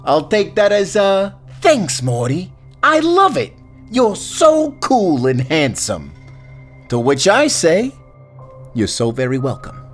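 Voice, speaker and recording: A man's voice: high voice, wildly swooping pitch, slow pace, soft background music, heavily acted. A man playing a cocky, teasing Grim Reaper in a fantasy audio roleplay, talking like a smug, slangy wise guy. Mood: cocky, jovial.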